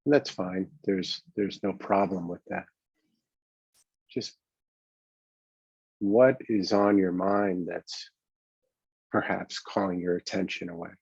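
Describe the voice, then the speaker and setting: A man with a husky voice leads a guided meditation as a coach, speaking gently and conversationally, unhurried and halting, with long pauses. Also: low-pitched, fairly even intonation. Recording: dull, boxy, roomy lo-fi sound.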